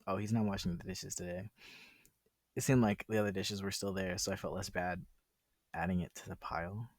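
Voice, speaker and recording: Low-voiced man casually reading a visual novel. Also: fairly even intonation, brisk, no echo, clean studio sound. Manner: informal, profane, interjecting. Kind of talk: casual read-aloud with side commentary.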